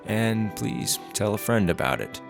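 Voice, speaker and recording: A man's voice: lowish voice, sing-song, unhurried, vocal fry, music in the background. A man reading scripted announcements and credits as the announcer of a radio drama.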